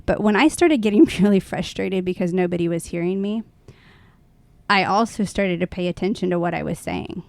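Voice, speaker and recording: A woman co-hosting a podcast, talking in a thoughtful, self-reflective and conversational way, with hesitant pauses. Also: lowish voice, creaky, dry recording. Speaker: podcast co-host.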